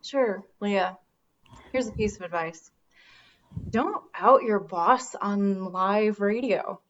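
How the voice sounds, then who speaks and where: A woman's voice: mid-range voice, slow, natural voice. A woman playing a sarcastic, defensive radio-show boss in a naturalistic comedy drama, recorded in dull lo-fi sound. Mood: bitter sarcasm. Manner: sarcastic, confrontational.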